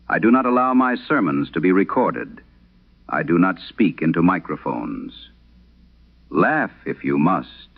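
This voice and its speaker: Guy acting as old haunted priest, super deep gravelly voice, slow and grave, old radio horror drama. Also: heavily acted. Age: older. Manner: grave, deliberate, measured. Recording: muffled vintage radio sound.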